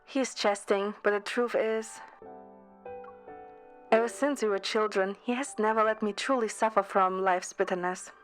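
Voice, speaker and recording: Female amateur narrator, mid-pitched, scripted first-person storytelling with music underneath. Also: steady tone, normal speed. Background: background music.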